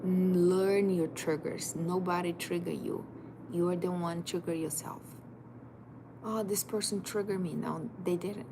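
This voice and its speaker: A woman's voice: fairly low pitch, steady tone, unhurried, gravelly. Woman, emphatic life coach. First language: Portuguese. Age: 30s. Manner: emphatic, direct, repetitive second-person urging. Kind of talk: motivational livestream talk. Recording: noisy background.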